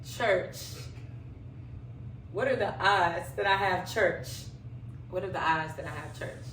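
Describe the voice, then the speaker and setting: A woman's voice: fairly low pitch, slow. Woman co-hosting casually in an echoey room. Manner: animated storyteller who acts out quoted dialogue. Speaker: podcast co-host. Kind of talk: casual chat. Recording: echoey room, voice a bit far from the mic, faint background noise.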